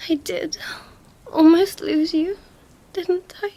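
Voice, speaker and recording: A woman's voice: extremely high pitch, fairly even intonation, full character voice. A woman playing a young princess, commanding yet tender and distraught, in an emotional ASMR roleplay monologue. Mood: shaken, tender. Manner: hushed, breathy, halting, emotional delivery.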